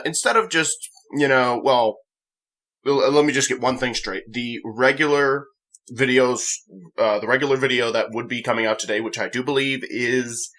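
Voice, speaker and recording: A young man hosting a gaming channel, talking off the cuff in a rambling, halting way, often correcting himself. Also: mid-range voice, sharp tone. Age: young adult. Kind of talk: casual unscripted vlog commentary.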